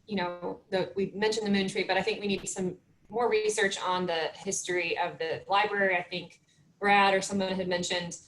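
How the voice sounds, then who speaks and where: A woman's voice: medium pitch, low-quality recording. Woman speaking up on a video call. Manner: measured, thinking aloud with fillers. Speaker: community participant. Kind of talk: meeting discussion.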